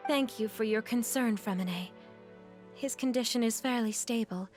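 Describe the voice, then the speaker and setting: A woman playing a polite, kindly, earnest mother in a video game, speaking gently and courteously. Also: on the high side, normal speed, lightly acted. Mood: gentle, appreciative. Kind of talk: English video game dub acting. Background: music.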